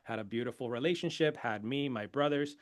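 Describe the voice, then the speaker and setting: A man from Venezuela whose first language is Spanish, a podcast guest telling his life story in a lively, proud way. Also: mid-range voice, fairly quick, no echo, clean studio sound. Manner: energetic, proud, laughs often. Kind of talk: casual interview storytelling.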